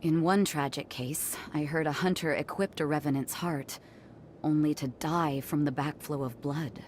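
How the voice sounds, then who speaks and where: A woman's voice: sits low, steady tone, moderate pace, a little hiss or hum, lightly acted. A woman playing a resolute, protective female commander and guarded older sister in a video game cutscene, commanding and earnest with a teasing streak. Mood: concerned, calm. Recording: loud music behind the voice. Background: loud game music.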